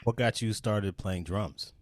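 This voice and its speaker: A man's voice: lowish voice, a little slow, noisy background. A man hosting a podcast, welcoming his guest and asking short, upbeat questions. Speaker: podcast host. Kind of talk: interview hosting.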